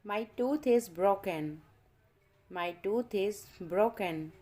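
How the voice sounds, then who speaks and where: A woman's voice: mid-range voice, mellow. A woman teaching English vocabulary, reading each simple sentence twice for learners to repeat. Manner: reads each sentence twice, measured and deliberate. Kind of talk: slow repeat-after-me vocabulary drill.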